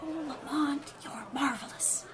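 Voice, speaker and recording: A woman playing an adoring, breathy young lady companion in 1930s radio drama style. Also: high voice, a little slow. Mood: admiring. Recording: noisy track with ambience.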